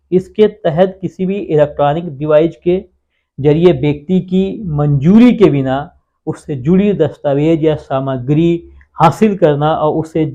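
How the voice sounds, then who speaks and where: A man's voice: a little high, dark tone. A man giving an informal explainer on the law to viewers, asking questions and answering them himself, repeating key points. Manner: repetitive, asks and answers his own questions. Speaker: legal explainer on a channel. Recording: dull lo-fi sound.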